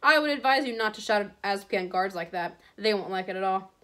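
A woman voicing a haughty aristocratic male villain in a storybook, smooth, condescending and falsely polite. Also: mid-range voice, animated, quick pace, sharp tone. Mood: mocking. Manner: oily politeness, condescending drawl.

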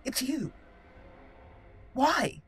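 A woman hosting a roleplay let's play, musing aloud and talking in character with deliberate, halting, dramatic emphasis. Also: high-pitched, very animated, breathy edge. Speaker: gaming channel host, roleplayer.

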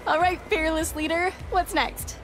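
Wry young American woman, unhurried. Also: very high voice, fairly even intonation, music in the background. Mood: playful. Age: young adult. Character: wry, affectionate young woman reporter, hero's girlfriend. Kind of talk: video game cutscene acting, casual banter.

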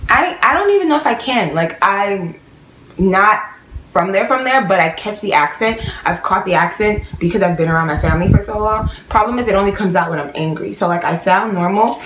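A woman's voice: medium pitch, expressive, fairly quick, a bit bright, reverberant, slight background noise, low-quality recording. A young woman from New York with a slight Guyanese tinge in her accent, chatting casually to camera in a rambling way. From New York, of Guyanese family. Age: young adult. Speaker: vlogger. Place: small bedroom at home.

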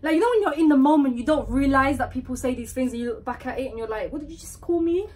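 A woman's voice: sits high, animated, quick pace, darkish tone, slight background noise. A young woman chatting casually at home with her partner, playfully bickering with him. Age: young adult. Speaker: co-host. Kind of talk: casual chat reacting to old clips. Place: living room at home.

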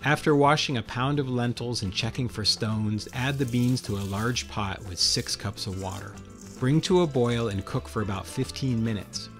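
Fifties male cooking host, mid-pitched, relaxed instructional delivery, light music bed. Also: moderate pace. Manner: friendly, explanatory, step-by-step instructions. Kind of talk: casual cooking demonstration. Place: home kitchen indoors.

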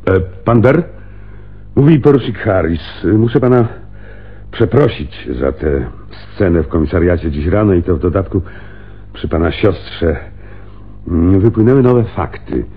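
An actor playing a seasoned, wry and commanding police lieutenant in a Polish radio play. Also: male voice, deep voice, very animated, a little slow, husky, lo-fi sound, exaggerated voice. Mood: measured.

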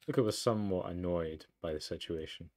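Low-voiced man playing a story game. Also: a little fast, a little mellow. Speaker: let's player. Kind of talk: game commentary and reading narration aloud. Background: game music.

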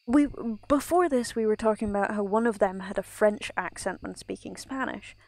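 A woman's voice: mid-range voice, moderate pace, darkish tone, no echo. A young woman from Ireland chatting casually while streaming a game, rambling and breaking off mid-thought. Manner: rambling, self-interrupting, laughs often. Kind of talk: casual gameplay chat.

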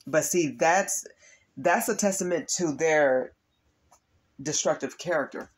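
A man speaks in a rough, gravelly voice, husky and worn from allergies and overuse. He gives a spiritual reading to his audience in an earnest, repetitive way, calling them "you guys". His pitch sits in a middle range for a man. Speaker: tarot reader and spiritual coach. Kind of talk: spiritual reading monologue to camera.

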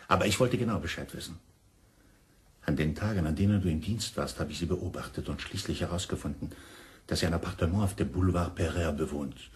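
A man acting in a German radio crime drama as an insistent friend confessing grim secrets, deliberate, dramatic and pitying. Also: low-pitched, a little mellow, close to an everyday voice. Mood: insistent, accusing.

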